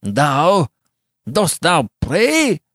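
A man's voice: fairly low pitch, very animated, slow pace, dry recording, studio quality, exaggerated voice. A man playing a passionate, bitter young man in a storybook, declaiming with venomous scorn in archaic language. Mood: fiendish scorn.

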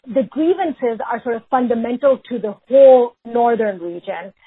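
A woman from Pakistan, a researcher answering interview questions in a measured way, often hedging with "sort of" and "if you will". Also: a little high, slightly rough. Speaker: researcher and guest. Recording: phone-like remote line.

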